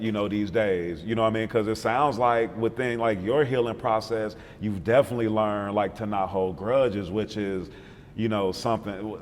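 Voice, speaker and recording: A man's voice: fairly low pitch, a little mellow, in a large hall, a little hiss or hum. Laid-back male interviewer. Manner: laid-back, agreeable, heavy on fillers. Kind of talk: casual interview talk.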